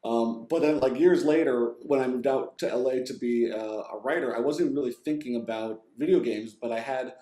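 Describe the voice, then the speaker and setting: A man's voice: mid-range voice, moderate pace, hardly any pauses, mellow. A man with a mixed Bronx and New Jersey accent, chatting casually as an interview guest in a rambling, self-deprecating way. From New Jersey and Florida, United States. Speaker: interview guest, video game and TV writer. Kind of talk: casual chat. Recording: roomy, echoing sound.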